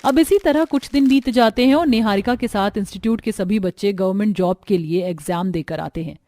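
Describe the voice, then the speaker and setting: A woman storyteller reading scripted narration for an animated tale. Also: medium pitch, fairly quick, no room sound, clean studio sound.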